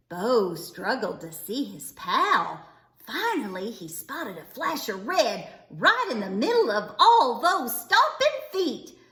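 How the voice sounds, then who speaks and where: Woman, children's read-aloud narration, high lively voice, dramatic emphasis, roomy echo. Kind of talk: expressive storybook narration. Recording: echoey, roomy sound.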